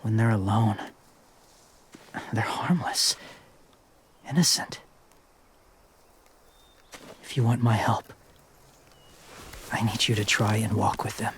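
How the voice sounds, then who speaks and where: Slow, hushed, gentle young survivor. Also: male voice, on the low side, fairly even intonation, slight background noise. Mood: gentle, earnest. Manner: soft-spoken, hushed, halting and earnest. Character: gentle, solemn young male survivor living among walkers. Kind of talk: dramatic video game acting.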